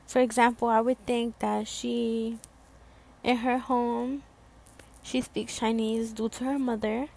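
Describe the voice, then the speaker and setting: Slow-spoken young woman, rambling. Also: a little high, level tone, vocal fry, a little mellow. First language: Spanish. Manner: rambling, many fillers and restarts. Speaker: student podcast host. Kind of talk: casual solo podcast talk.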